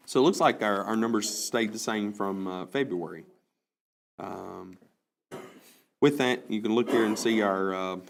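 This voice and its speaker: A man presenting enrollment and budget figures at a school board meeting, halting with many fillers. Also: on the low side.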